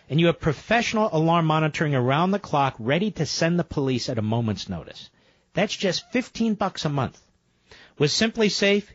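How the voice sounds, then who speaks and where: A man's voice: mid-range voice, no room sound. A man hosting a talk radio show, speaking emphatically and growing indignant. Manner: emphatic, indignant, rising to loud peaks. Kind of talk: talk radio monologue and call-in. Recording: dull lo-fi radio sound, dry.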